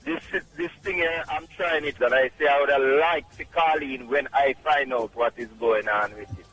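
A middle-aged man from Jamaica with a Jamaican accent, calling in to a radio show and speaking in an emphatic, halting, repetitive way. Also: mid-range voice, fairly bright tone, poor audio. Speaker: caller. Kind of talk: radio call-in testimonial.

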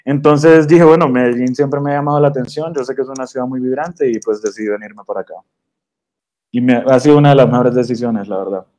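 Young adult man from Honduras, DJ guest; mellow, warm tone; easygoing, halting delivery. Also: medium pitch. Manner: hesitant, many fillers and restarts. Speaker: guest DJ and producer. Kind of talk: relaxed storytelling interview answers. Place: radio studio.

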